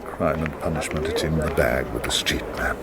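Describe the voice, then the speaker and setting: An actor playing a wry, sardonic English publisher turned reluctant spy in a radio drama. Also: male voice, very deep voice, normal speed, heavy background noise. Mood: muttering.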